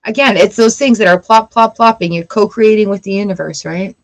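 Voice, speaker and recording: A woman's voice: mid-range voice, fairly quick. A woman doing a casual tarot reading, reading card texts aloud and breaking off into playful asides. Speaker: tarot reader.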